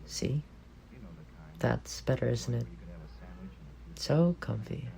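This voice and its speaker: A man playing an affectionate, teasing young roommate secretly in love, in an intimate ASMR roleplay with a soft, creaky voice. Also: mid-range voice, extremely slow, full character voice. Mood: fond, soothing. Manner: playful teasing murmur with soft chuckles.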